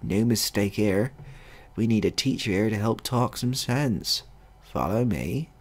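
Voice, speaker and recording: A man voicing a gruff, earnest depot worker with a Bristolian accent, blunt and slow in his talk, in a short story. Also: lowish voice. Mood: firm, earnest.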